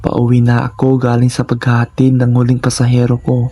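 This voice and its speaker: A man's voice: medium pitch, monotone, fairly quick, dark tone, no room sound. A man narrating scripted first-person horror stories in a dramatic, suspenseful manner, in short phrases.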